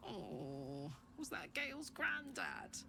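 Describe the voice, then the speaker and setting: A woman playing a blunt, excitable, informal adventurer in a video game. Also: sits low, slow, vocal fry, background noise, heavily acted. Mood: surprised, puzzled. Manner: informal, exclamatory, swearing. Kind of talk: video game character acting. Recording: loud music behind the voice.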